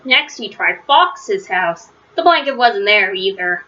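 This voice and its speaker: A woman reading a children's storybook aloud as narrator, in an expressive style. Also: medium pitch, slow. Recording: slightly dull, lo-fi home recording.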